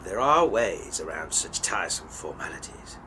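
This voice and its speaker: A man playing a pompous, fussy British gentleman adventurer in an audio drama, florid and old-fashioned in manner. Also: low-pitched, big pitch swings, normal speed, slight background noise, heavily acted, rough recording. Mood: genteel.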